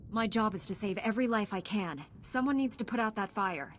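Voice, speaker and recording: A woman's voice: mid-range voice, lively intonation, brisk, lightly acted. An actress voicing a composed, earnest young female Jedi knight in scripted video game dialogue. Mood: resolute. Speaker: game character.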